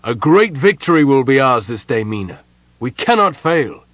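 A man voicing a confident, loyal army captain in an audiobook, loud and eager. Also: mid-range voice, very animated, moderate pace, dry recording, voice pushed up. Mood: boastful. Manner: loud, cheering.

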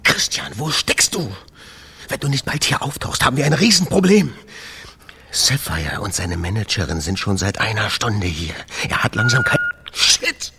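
Frantic man, loud noise. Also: fairly low pitch, huge pitch swings, normal speed, vocal fry, exaggerated voice. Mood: frantic, angry. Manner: agitated, urgent. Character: agitated club owner ranting into an answering machine. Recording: very noisy, with clicks.

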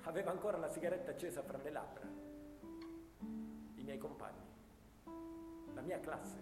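An actor playing a haunted young First World War soldier, declaiming grave memories in a theatrical radio drama monologue. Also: male voice, on the high side, moderate pace, creaky. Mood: anguished, grave. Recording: echoey hall, guitar music behind. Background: guitar music.

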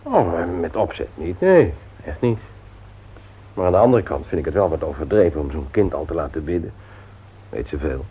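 A man's voice: sits low, sing-song, normal speed, mellow, slightly rough, exaggerated voice. An actor playing a teasing, forgetful husband and father in a naturalistic radio drama, speaking in a hesitant, conversational way. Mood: defensive. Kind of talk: naturalistic Dutch radio play acting. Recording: noisy old radio play recording, clicks.

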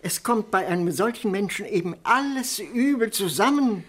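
A man's voice: high voice, expressive, fairly bright tone. An actor playing a fussy, pedantic male government minister, speaking primly in a satirical radio drama. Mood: prim disapproval. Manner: prim, unhurried, pedantic.

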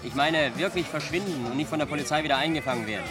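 An actor in a radio play playing a discouraging, world-weary man who warns bluntly and forcefully. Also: a little high, rapid-fire, lightly acted. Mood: blunt warning. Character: discouraging, world-weary man warning a youngster against running away. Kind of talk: German radio play acting. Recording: loud street crowd and music behind.

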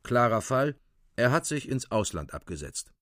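Male chronicler, official reporting tone, clipped, mid-pitched, dry close sound, radio play. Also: sing-song, normal speed, a bit bright, no room sound. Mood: matter-of-fact. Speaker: narrator.

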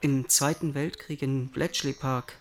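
An actor playing an earnest mathematician who answers an official's questions precisely and matter-of-factly in a radio drama. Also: male voice, mid-range voice, steady tone, measured pace, natural voice. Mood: earnest, factual.

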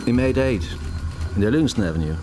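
An actor playing a sober, persistent police detective in a radio crime drama, speaking in a terse, probing way. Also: male voice, medium pitch, expressive, fast talker, noisy background, close to an everyday voice. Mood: sober, reporting. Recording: prominent music behind the voice.

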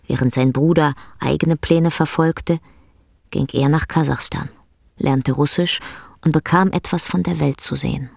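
A woman narrating a literary radio drama as a sober, measured storyteller. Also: low-pitched, fairly even intonation, gravelly, darkish tone, dry recording, studio quality, heavily acted.

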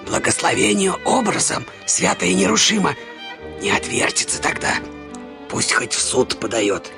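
A man's voice: high voice, sing-song, moderate pace, creaky, music in the background, heavily acted. An actor playing a scheming, bossy old father in a melodramatic radio comedy. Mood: conspiratorial, urgent. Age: older. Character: scheming old father, excitable, eager to trap a suitor. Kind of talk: radio play comedy acting.

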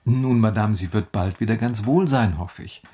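An actor playing a meek, nervous country doctor in a radio drama, hesitant and overly polite. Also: male voice, fairly low pitch, normal speed, warm tone, slightly rough. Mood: cautious, deferential.

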